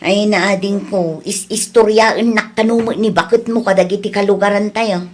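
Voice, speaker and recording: Husky Ilocano woman, acted, frank and scolding. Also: mid-range voice, steady tone, normal speed, close to an everyday voice. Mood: troubled, firm. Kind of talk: Ilocano audio drama acting.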